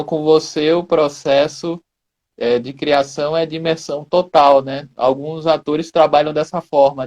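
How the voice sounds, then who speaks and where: A man's voice: fairly high pitch, darkish tone. A man interviewing a guest, asking questions in a conversational way and checking in with tag questions.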